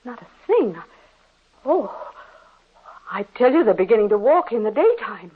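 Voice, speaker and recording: A woman's voice: fairly high pitch, big pitch swings, normal speed, slight background noise. A woman playing a gossipy, superstitious and fearful neighbor in an old radio horror drama, speaking in an ominous, theatrical way. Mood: panicked. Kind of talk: 1940s radio horror acting. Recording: muffled, lo-fi old radio recording.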